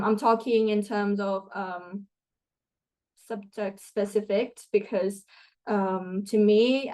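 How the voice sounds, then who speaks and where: A woman's voice: medium pitch, darkish tone, poor audio. Chatty young woman student from Thailand. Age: young adult. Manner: chatty, many fillers and restarts, laughs often. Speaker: student guest. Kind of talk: conversational interview answers.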